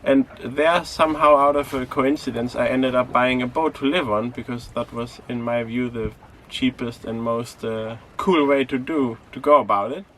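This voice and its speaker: Relaxed young man, hesitant vlog talk. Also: mid-range voice, moderate pace, hardly any pauses, slight background noise. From Greece. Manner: relaxed, hesitant with many fillers and restarts. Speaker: sailing vlog host. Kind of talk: casual vlog narration.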